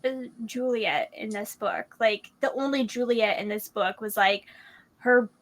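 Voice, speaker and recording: A woman's voice: medium pitch, a little slow, rough recording. A woman in her early twenties in a casual book discussion, venting animatedly and saying "like" a lot.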